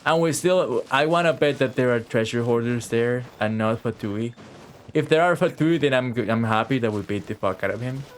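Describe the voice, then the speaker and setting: A man's voice: mid-range voice, normal speed, a little hiss or hum. A young man doing casual live gameplay commentary, slangy and full of swearing. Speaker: game streamer. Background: game music.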